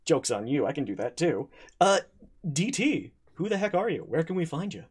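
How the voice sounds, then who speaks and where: A man's voice: on the high side, big pitch swings, normal speed, husky. A man running a tabletop game stream, introducing players with stammering restarts and jokes. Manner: stammering restarts, joking, laughs a lot. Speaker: game master hosting a charity stream. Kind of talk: casual chat, introducing players.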